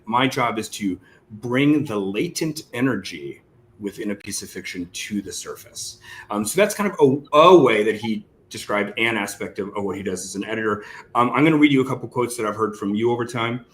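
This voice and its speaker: A man's voice: on the low side, fairly bright tone, slight background noise. A man hosting a casual literary interview, speaking in a rambling, conversational way with lots of "like". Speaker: interviewer, host.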